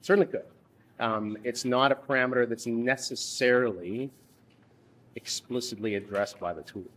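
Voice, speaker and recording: A man in his thirties leading a workshop from a lectern in a conference room, speaking haltingly with frequent restarts. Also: medium pitch, fairly bright tone. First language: English. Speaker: workshop instructor. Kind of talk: workshop presentation.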